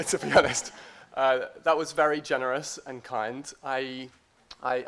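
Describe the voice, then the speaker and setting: A man with a slightly high voice delivers an academic conference lecture. His manner is deliberate and a little hesitant, with a modest, self-deprecating air as he addresses his listeners. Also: a bit bright. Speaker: academic guest speaker.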